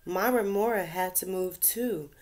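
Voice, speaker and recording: A woman's voice: on the low side, a little slow, darkish tone, pitched above the performer's own voice, lightly acted. A woman voicing a cheerful young male shark in a storybook read-aloud, eager and friendly. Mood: wistful.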